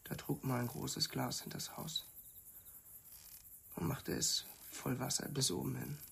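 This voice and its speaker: An actor playing a haunted rural man in a radio play, confessing a childhood memory in a halting, heavy way with a raspy voice. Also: medium pitch, little pitch movement, sharp tone, slight background noise, full character voice. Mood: reminiscing.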